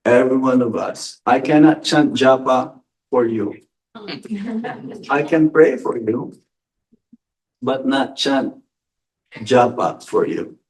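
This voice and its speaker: Slow, deliberate male monk. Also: mid-range voice, darkish tone, recorded from a distance, rough recording. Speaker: monk giving a spiritual talk. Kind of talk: question-and-answer spiritual lecture.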